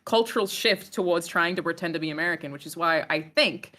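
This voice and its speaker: An Australian woman with a lowish voice chats casually with a group, joking and teasing herself as she goes. Her delivery is loose and conversational. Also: fairly quick, sharp tone. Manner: joking, self-deprecating. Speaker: guest.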